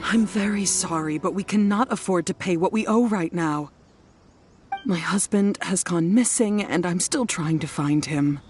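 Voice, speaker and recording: Woman voicing worried wife in anime game, lower voice, polite n plaintive, music behind her. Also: unhurried, a little mellow, natural voice. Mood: apologetic, weary. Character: worried, apologetic wife of a missing collector.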